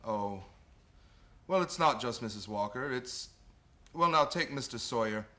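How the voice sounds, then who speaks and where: A man plays an old Santa Claus figure in a stage-style radio play. He sounds bright and forceful at a middling pitch. He is kindly, earnest and old-fashioned, with some fight in him. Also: lively intonation, measured pace. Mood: hurt, downcast.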